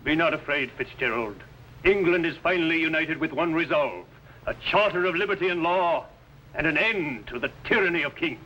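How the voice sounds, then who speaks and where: An actor playing a resolute, solemn medieval archbishop, with formal, declamatory speech in 1950s television drama style. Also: male voice, fairly high pitch, animated, moderate pace, pushed and bright. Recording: faintly noisy old TV soundtrack.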